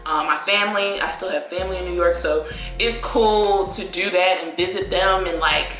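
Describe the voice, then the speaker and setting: A woman's voice: on the low side, a bit bright. Chatty young woman in an echoey room. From Virginia, USA. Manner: chatty, drawn-out phrases, lots of likes and y'all. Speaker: beauty vlogger. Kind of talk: casual get-ready-with-me chat. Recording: echoey room with faint music.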